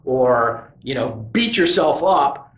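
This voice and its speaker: A man's voice: mid-range voice, expressive, slow, a bit bright, echoey room, a little hiss or hum, rough recording. A man hosting a tabletop gaming channel, talking casually and earnestly to camera, rambling with many restarts and fillers. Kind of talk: casual opinion monologue to camera.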